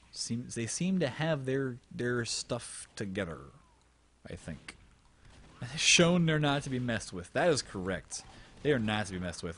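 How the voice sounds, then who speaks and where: Animated young male game caster. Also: medium pitch, slight background noise. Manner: joking, rambling banter. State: losing his voice. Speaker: esports caster. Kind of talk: live game commentary.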